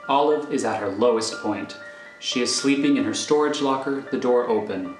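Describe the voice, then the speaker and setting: A man's voice: mid-range voice, moderate pace. Roomy-sounding man narrating a screenplay. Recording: roomy recording over faint music.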